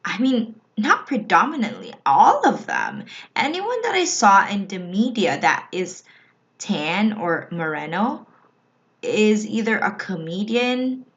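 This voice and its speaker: A woman from the Philippines vlogging in a casual, reflective way, often pausing and restarting her thoughts. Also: mid-range voice, huge pitch swings, fairly bright tone. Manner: slow, reflective, with frequent restarts. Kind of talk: personal casual vlog talk. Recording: slightly dull lo-fi recording.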